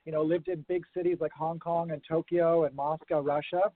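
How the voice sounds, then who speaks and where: A man's voice: a little high, flat delivery, normal speed, dry recording. Man explaining over a tinny phone line. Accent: American-sounding. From grew up around the world. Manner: thoughtful, explanatory, with fillers. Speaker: guest, urban farming consultant. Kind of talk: remote interview.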